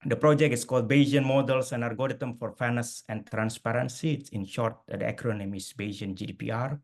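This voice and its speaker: A middle-aged man from Indonesia presents over a video call. He talks in a hesitant way, full of fillers and restarts, with a voice of ordinary pitch for a man. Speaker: guest speaker. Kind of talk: webinar presentation.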